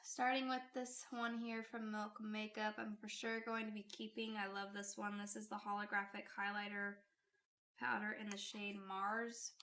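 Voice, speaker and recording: A woman's voice: medium pitch, flat delivery, normal speed, pushed and bright, a little hiss or hum. A woman hosting a casual makeup vlog, chatty and friendly, her voice still a little off from a recent illness. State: recovering from illness, voice off. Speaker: beauty YouTuber.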